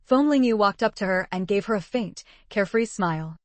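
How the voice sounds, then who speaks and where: A woman narrating a translated web novel in an even, measured storytelling voice. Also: mid-range voice, no echo. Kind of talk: scripted audiobook narration.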